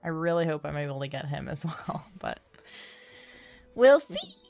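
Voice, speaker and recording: A woman hosting a game livestream, chatting casually in an animated, playful, rambling way. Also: sits low, normal speed. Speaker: game streamer and host. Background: background music.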